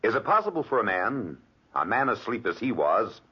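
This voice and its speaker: An actor playing an earnest, formal defense lawyer with solemn oratory in a 1940s radio drama. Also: male voice, on the low side, very animated, normal speed, slightly rough. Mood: earnest pleading. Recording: muffled old 1940s radio recording.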